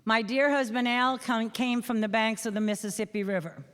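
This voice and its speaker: A woman's voice: fairly high pitch, fairly even intonation, a bit bright. Older New England woman, echoey hall. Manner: earnest, emphatic, with measured pauses. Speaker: veteran guest speaker. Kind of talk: speech. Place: school hall or gym.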